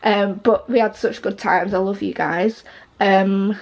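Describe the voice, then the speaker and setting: A teenage girl chatting to camera, rambling with lots of fillers and restarts, her voice a little croaky. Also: medium pitch, steady tone, unhurried, muffled recording. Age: teens. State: slightly croaky voice. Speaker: vlogger. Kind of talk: casual chat to camera.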